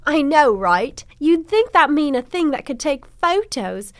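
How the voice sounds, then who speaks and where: A woman's voice: high-pitched, big pitch swings, a little slow, no echo. An actress playing a cheerful, enthusiastic woman traveler reading her letter home theatrically in an improvised radio comedy. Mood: chatty, informative. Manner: theatrical, declaring.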